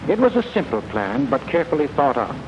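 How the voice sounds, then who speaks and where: A man's voice: mid-range voice, very animated, fast. A man narrating a 1940s propaganda film in an emphatic, sardonic manner. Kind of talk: 1940s scripted documentary narration. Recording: dull, band-limited 1940s film soundtrack. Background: loud music score.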